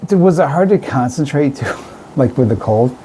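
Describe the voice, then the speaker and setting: An American man interviewing as a radio host in a chatty, informal way. His voice is mellow with a very lively rise and fall, he sprinkles in "like" and "right", and there is faint noise in the background. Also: mid-range voice, normal speed. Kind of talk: casual chat interview. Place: radio studio.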